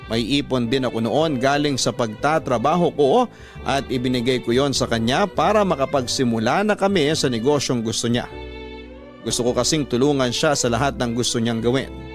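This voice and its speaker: Radio drama narrator, played by a man: sincere hardworking lover, medium pitch, Filipino accent, soft violin underneath. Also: lightly acted. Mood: earnest, devoted. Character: devoted, hardworking man recounting his love story. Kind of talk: first-person scripted radio drama narration. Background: violin music.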